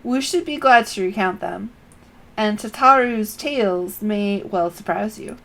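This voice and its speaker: A woman's voice: mid-range voice, a bit bright. A woman reading an earnest young scholar. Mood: solemn. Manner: measured, formal phrasing. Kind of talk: reading game dialogue aloud.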